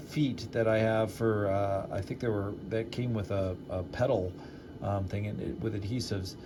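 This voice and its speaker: Man casually narrating DIY build, background noise. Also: mid-range voice. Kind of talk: casual hands-on project narration.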